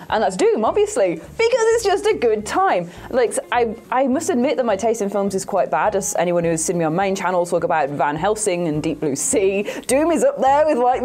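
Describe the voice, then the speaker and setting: A woman's voice: mid-range voice, darkish tone. A woman presenting in a casual film chat, playful, enthusiastic and given to swearing. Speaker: video game show presenter.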